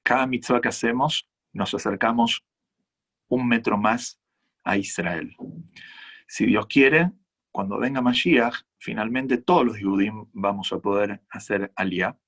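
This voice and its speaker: A man with an Argentine accent, a rabbi giving earnest, measured answers to audience questions at a conference talk. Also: lowish voice, a bit bright, slightly rough. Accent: Argentine Spanish. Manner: earnest, measured, reflective explanations.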